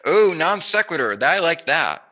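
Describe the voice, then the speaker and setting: Playful male interviewer with a very bright voice. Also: on the high side, big pitch swings, moderate pace. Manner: playful, jokey, musing. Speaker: interviewer, host. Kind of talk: casual joking chat interview.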